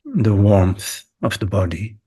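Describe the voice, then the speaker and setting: A man guiding a meditation as a teacher, speaking in short, unhurried phrases separated by long pauses. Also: fairly low pitch, very animated, mellow, husky. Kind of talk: guided meditation.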